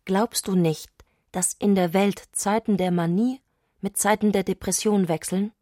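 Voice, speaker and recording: A woman's voice: medium pitch, fairly even intonation, measured pace, warm tone, dry recording, close to an everyday voice. Radio play role: woman playing a fragile, exalted young wife, urgent and intense, in a dry studio sound. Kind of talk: radio drama dialogue.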